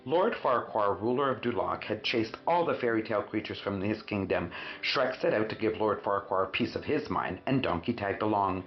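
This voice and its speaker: A man reading a children's storybook expressively, with dramatic emphasis and drawn-out words. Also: on the low side, animated. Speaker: storyteller, host of a read-aloud show. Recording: roomy, muffled sound with faint music.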